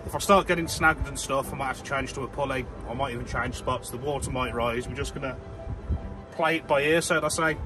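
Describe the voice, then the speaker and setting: A man's voice: medium pitch, a little fast. Chatty, easygoing man vlogging, music behind. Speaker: angling vlogger and host. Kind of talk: casual vlog chat and narration.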